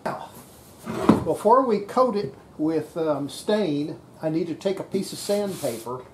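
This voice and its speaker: An older radio repairer talks casually through hands-on work in a workshop. The voice sits at a middling pitch but moves up and down a great deal, in a folksy way. The camera audio carries clear noise and clicks. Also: lively intonation, measured pace. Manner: folksy, talking while working. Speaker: radio repairer presenting a restoration. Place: indoor workshop.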